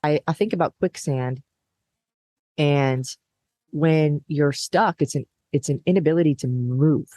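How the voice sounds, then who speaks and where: Reflective guest who thinks aloud; mid-pitched voice, dry close sound. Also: measured pace, mellow, no room sound. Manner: reflective, thinks aloud, acts out past thoughts. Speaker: guest, business co-founder. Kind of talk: conversational interview.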